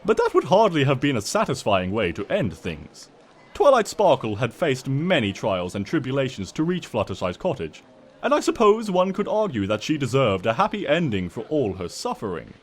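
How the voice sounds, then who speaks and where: A man's voice: medium pitch, wildly swooping pitch, moderate pace. A man voicing a smug, mock-innocent trickster creature who narrates a comic storybook tale in a pompous, wry, theatrical way. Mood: grudging.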